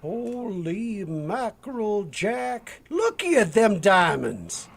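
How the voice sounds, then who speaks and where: An actor playing a jovial, folksy bodyguard sidekick in a vintage radio drama, talking in an amused drawl. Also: male voice, high-pitched, big pitch swings, takes it slowly, gravelly, a crowd in the background, exaggerated voice. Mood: marvelling.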